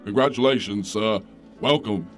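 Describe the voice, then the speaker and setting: A man's voice: lowish voice, normal speed, soft background music, close to an everyday voice. A man playing a brusque, obsequious henchman in an absurdist audio drama.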